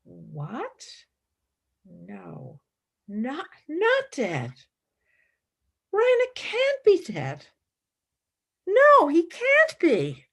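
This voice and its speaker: A woman playing an earnest, principled young philosopher and wartime nurse in a radio play, with measured, emphatic delivery. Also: high voice, wildly swooping pitch, fairly bright tone, heavily acted. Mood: shocked, grieving. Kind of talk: dramatic radio play acting.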